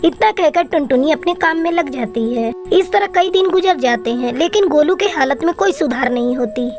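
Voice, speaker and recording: A woman's voice: sits high, animated, moderate pace, darkish tone. A woman reading scripted narration for a children's cartoon story. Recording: faint music behind.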